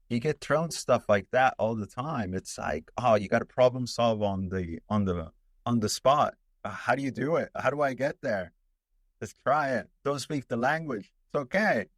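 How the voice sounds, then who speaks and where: A man's voice: medium pitch, dry recording, clean studio sound. A man from Italy whose first language is Italian, a documentary filmmaker telling a travel story in an interview, rambling with many fillers and restarts.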